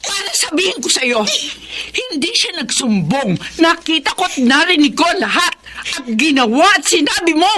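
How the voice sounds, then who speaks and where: A woman's voice: sits high, big pitch swings, moderate pace, noisy background. A woman playing an anguished, protective mother in an emotional radio drama, pleading and indignant. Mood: indignant, scolding. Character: anguished, protective mother scolding her family.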